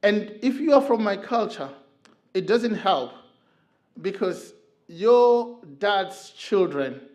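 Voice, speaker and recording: A middle-aged man from Zimbabwe speaks in a church, his voice sitting high for a man. He talks earnestly and tells stories, with frequent filler pauses as he searches for words. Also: expressive, darkish tone. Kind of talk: talk on men's mental health. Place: church hall.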